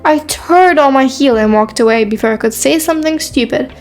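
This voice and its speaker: Casting: female actor as quiet teen newcomer in a romance drama, light high register, wry and guarded, soft music underneath. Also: fairly quick. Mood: guarded, flustered. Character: shy but sarcastic teenage girl, new at school. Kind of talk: scripted first-person story narration with acted dialogue.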